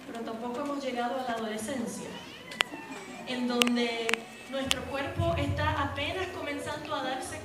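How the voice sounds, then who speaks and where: A young woman giving a lively, humorous church testimony and speaking directly to the congregation. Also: on the high side. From raised in Puerto Rico. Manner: lively storytelling, addresses the audience directly, with humor. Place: church hall. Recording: echoey hall, distant mic, noisy.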